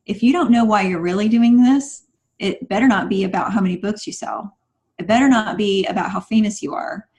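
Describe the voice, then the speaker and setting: A woman's voice: mid-range voice, fairly quick, a little mellow. A woman speaking as a podcast guest, giving conversational advice in an earnest, emphatic and encouraging way. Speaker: guest writer.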